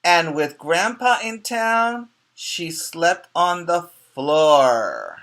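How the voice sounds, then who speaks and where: A male preschool teacher reads a picture book aloud in a bright, forward voice. He takes his time, stressing key words for young listeners. His delivery is expressive and explanatory, with questions put to the children. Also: fairly high pitch, drawn-out words.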